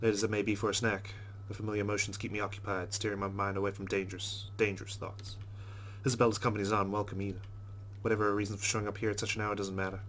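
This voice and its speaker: A man with a fairly low voice reads visual novel narration at a brisk clip. He is wry and conversational, like a host playing through a game, and there is a light hum and noise behind him. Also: level tone, fairly bright tone. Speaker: let's play host. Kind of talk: reading game narration aloud with casual asides.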